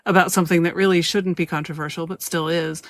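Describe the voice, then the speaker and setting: A woman's voice: lowish voice, steady tone, fast talker. A woman hosting a casual interview, speaking informally and thoughtfully with emphatic phrasing. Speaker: podcast host.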